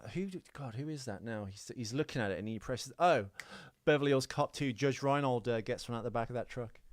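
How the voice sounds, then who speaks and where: A man's voice: medium pitch, a little fast, no room sound. A man with an English accent chatting casually as a podcast co-host, in a rambling, blunt way. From England. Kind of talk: casual film trivia chat.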